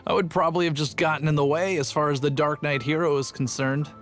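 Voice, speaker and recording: A man's voice: on the high side, fairly even intonation, brisk, a bit bright, natural voice. A man playing an earnest, timid, talkative city guard in a video game, telling things like a storyteller. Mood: puzzled earnest. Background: music.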